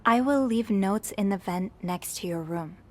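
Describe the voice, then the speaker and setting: An actress playing a young, curious and polite hotel maid in a video game. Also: female voice, mid-range voice, normal speed, a little mellow, lightly acted. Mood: intrigued.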